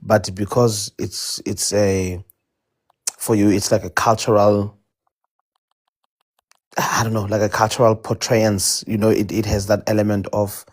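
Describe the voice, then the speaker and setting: Man, low-ish voice, casual storytelling, hesitant, lots of restarts. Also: level tone, a little slow, long pauses. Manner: rambling, hesitant with frequent restarts and fillers. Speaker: debate participant.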